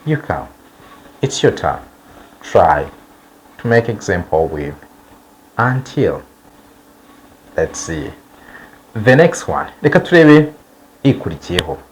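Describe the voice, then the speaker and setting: Man, English teacher, low voice, animated pitch, slow repeated examples. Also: slight background noise, rough recording. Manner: slow, drawn-out model sentences repeated for learners. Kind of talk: bilingual language lesson.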